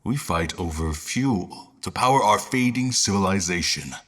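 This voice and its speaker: An actor playing a grave war general, speaking in a solemn, deliberate and theatrical manner in a fantasy audio drama. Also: male voice, deep voice, expressive. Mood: grave, resolute. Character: grave male war general, devout seeker of a lost dream. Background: music.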